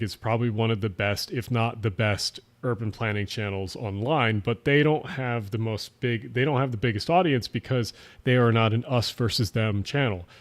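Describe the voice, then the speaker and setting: Tipsy south jersey guy hosting a late stream, rambly, lots of uhs, swears a bit. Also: mid-range voice. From South Jersey, USA. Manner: rambling, many fillers and restarts, swears casually. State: slightly tipsy. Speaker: livestream host. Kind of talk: casual late-night stream chat, answering chat.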